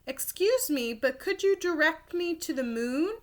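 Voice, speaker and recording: A woman's voice: extremely high pitch, expressive, unhurried, voice pushed up. A woman voicing a headstrong, plaintive female cow in a children's storybook, speaking emphatically. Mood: puzzled, eager. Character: headstrong female cow, wailing and grumbling about the moon.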